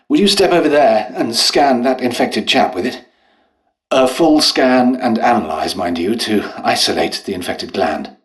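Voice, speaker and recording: An actor playing a polite, dutiful, harried English doctor and scientist in a video game, with a British accent and a hesitant, genteel manner. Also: male voice, mid-range voice, lightly acted, poor audio. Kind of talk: scripted game character dialogue.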